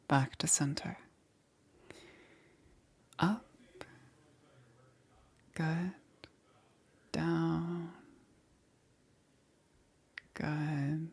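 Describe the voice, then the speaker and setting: A woman playing a gentle witch caring for a stranger in a soothing ASMR roleplay, breathy and often dropping into a whisper. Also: low-pitched, heavily acted. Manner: unhurried, soothing, checking in with short murmurs. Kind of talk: soft-spoken ASMR roleplay.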